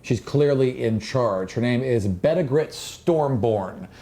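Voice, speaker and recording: Mellow-voiced man running a tabletop game. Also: mid-range voice, animated, slow pace. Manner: descriptive scene-setting with casual asides. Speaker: game master. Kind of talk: narrating a tabletop fantasy game.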